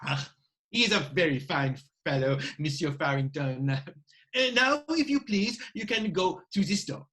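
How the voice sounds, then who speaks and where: A man playing an ingratiating French restaurant proprietor in a Victorian farce reading, coaxing and effusive in short, halting phrases. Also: a little high, expressive, a bit bright.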